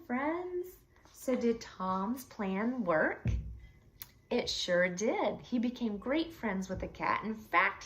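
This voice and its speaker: A woman reading a children's story aloud expressively, with deliberate, dramatic emphasis for young listeners. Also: on the high side, a bit bright. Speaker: read-aloud storyteller for schoolchildren. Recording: echoey room, slightly distant mic.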